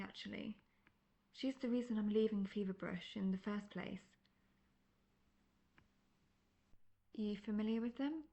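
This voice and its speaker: A woman's voice: medium pitch, gravelly, darkish tone, dull audio, lightly acted. A woman playing a seasoned, cocky monster hunter in a fantasy audio roleplay, talking in a wry, conversational, self-assured way. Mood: matter-of-fact, grave. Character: seasoned, cocky, world-weary female monster hunter, friendly mentor.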